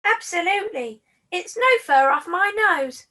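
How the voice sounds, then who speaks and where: A woman's voice: very high-pitched, lively intonation, unhurried, bright, forceful tone, full character voice. A woman playing an outspoken, challenging cuddly toy in a scripted puppet comedy. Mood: agreeable.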